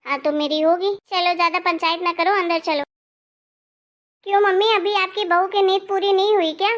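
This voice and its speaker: A woman voicing an irritable married daughter in an animated family story, sharp, bossy and sarcastic. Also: very high-pitched, moderate pace, lo-fi sound. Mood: irritated. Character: irritable, bossy married daughter visiting her parents' home.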